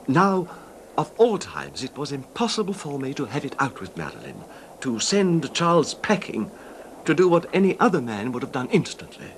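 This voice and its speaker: A polite cuckolded husband calmly admitting revenge, old radio play, lots of pitch movement, bit of noise. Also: male voice. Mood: aggrieved, controlled. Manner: measured, understated, genteel, hesitant. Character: quiet, controlled cuckolded husband calmly confessing his revenge. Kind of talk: 1970s radio drama acting. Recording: noisy 1970s radio drama recording.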